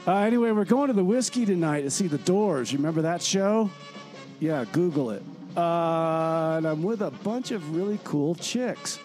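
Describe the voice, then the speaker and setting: Gossipy laid-back traveler, played by a man, higher male voice, confiding radio-comedy monologue over music. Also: expressive, moderate pace, darkish tone, close to an everyday voice. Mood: breezy.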